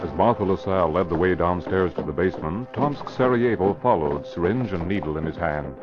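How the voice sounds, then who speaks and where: A man narrates a vintage radio thriller in a low register. His delivery is formal and dramatic, and the old recording sounds dull and muffled. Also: animated, brisk, music in the background.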